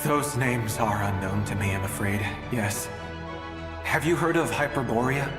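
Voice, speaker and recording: An actor playing a despairing father from an ancient age in a video game, speaking haltingly with gentle, polite resignation. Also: medium pitch, natural voice. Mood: wistful, subdued. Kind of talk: dramatic video game voice acting. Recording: echoey hall reverb under music.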